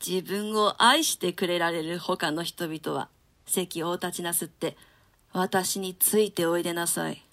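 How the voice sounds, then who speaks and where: A woman's voice: fairly low pitch, steady tone, a little slow, fairly bright tone. Woman as villainous nobleman, imperious, accusing, archaic theatrical speech, Shakespearean drama reading. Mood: cold, commanding.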